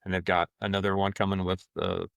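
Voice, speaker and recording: A man hosting a casual podcast, talking in a relaxed, rambling way and swearing now and then. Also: sits low, fairly quick, gravelly, no echo. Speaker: podcast host. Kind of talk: casual chat.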